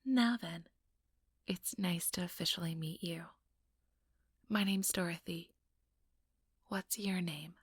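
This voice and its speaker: A woman playing an ambitious, sugary, manipulative vampire in an ASMR roleplay, speaking in a breathy, whispery voice with coaxing, condescending sweetness. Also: on the low side, slow pace, pauses often, no room sound, studio quality. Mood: brisk, welcoming, then coaxing, sweet. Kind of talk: ASMR roleplay, soft whispered monologue to listener.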